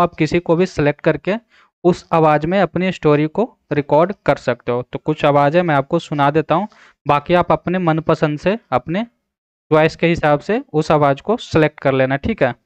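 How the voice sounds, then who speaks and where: Smooth, higher-pitched man giving a tutorial. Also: normal speed, no echo, dull audio. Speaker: tutorial host. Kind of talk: casual screen-recorded app tutorial narration.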